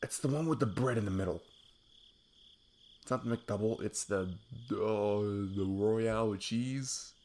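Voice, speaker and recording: A man playing an affectionate, goofy best friend on a date in an intimate ASMR roleplay, rambling playfully in a husky, gravelly voice. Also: lowish voice, unhurried, darkish tone, natural voice. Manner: rambling, playful, self-deprecating chuckles. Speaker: audio roleplay performer. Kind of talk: intimate ASMR roleplay, improvised casual chat.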